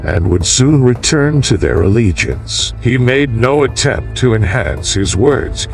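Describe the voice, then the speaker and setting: A man reading a classical history as a scripted audiobook, in a measured, formal, oratorical manner. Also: medium pitch, animated, creaky, faint music behind. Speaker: audiobook narrator.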